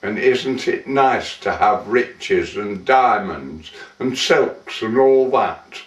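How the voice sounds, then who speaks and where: Man reciting as a wistful young heiress, slow, echoey. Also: fairly low pitch, animated. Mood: proud, playful. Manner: theatrical, measured, with lingering pauses. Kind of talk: dramatic poetry recitation. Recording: roomy echo, distant microphone.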